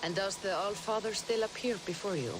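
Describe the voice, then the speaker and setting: A woman's voice: mid-range voice, noisy background, lightly acted. A woman playing a solemn mystic seer. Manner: measured, solemn, slow. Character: calm mystical female seer, middle-aged. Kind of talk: video game cutscene dialogue.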